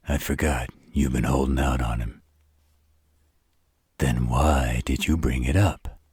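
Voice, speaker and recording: A man playing an arrogant, flirtatious vampire in a roleplay audio drama, with a husky voice and a smug, taunting delivery. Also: a little mellow, no room sound, clean studio sound, exaggerated voice. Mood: smug, teasing. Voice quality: very deep, husky, rough. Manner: smug, taunting, slow drawl. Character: arrogant, teasing, flirtatious adult male vampire. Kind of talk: roleplay audio drama monologue addressed to the listener.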